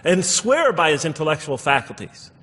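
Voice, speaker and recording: A man's voice: on the high side, very animated, normal speed, a bit bright, husky. A man giving a public lecture explaining scripture, emphatic and repeating phrases for stress, in a large hall. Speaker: religious lecturer. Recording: echoey hall with long reverb.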